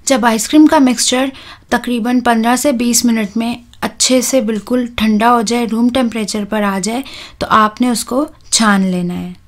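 A woman, hosting a home cooking channel and talking calmly through a recipe step by step, with soft "uh" fillers. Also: on the high side, normal speed. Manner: calm, instructive, with soft "uh" fillers.

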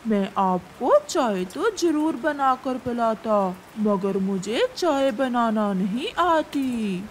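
Cartoon crow dad, female performer, highish pitch, very animated melody, polite and full of regret. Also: slow, a little hiss or hum. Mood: polite, welcoming. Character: polite, remorseful male crow, a grieving father. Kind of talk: Hindi animated moral-story dubbing.

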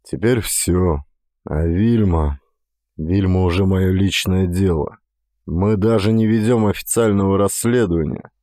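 A man's voice: low voice, a little slow, dark tone, no echo, studio quality, pitched below the performer's own voice. Man voicing a blunt, terse police detective. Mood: frustrated, grave. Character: gruff, worldly male police detective, blunt but loyal.

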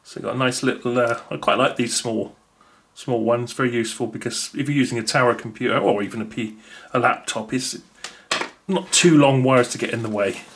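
A man's voice: medium pitch, a little fast, slight background noise. A man, thinking aloud with many fillers as he unboxes a gadget at a table indoors. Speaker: unboxing video host. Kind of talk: casual unboxing commentary.

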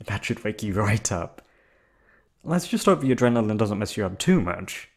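A man voicing a calm, unhinged yandere receptionist who has kidnapped the listener, in an ASMR audio drama. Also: fairly low pitch, moderate pace. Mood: menacing. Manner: soft, coolly reasoning, menacing. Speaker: voice actor.

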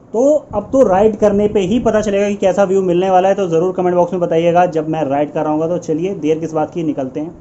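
Young dude motovlogging, kinda high voice, mellow tone, loud street noise behind. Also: male voice. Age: young adult. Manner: chatty, addresses viewers directly. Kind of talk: casual vlog narration. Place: indoors at home, then riding a motorcycle on city streets. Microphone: action camera mounted on helmet. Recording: lo-fi camera audio with traffic noise.

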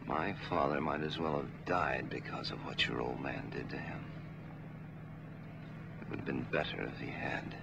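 An American actor playing a cold, vengeful middle-aged gangster in a 1940s film noir, speaking in a deliberate, threatening way. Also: male voice, a bass voice, fairly even intonation, gravelly, heavy background noise, exaggerated voice. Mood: menacing. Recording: dull, muffled 1940s film soundtrack.

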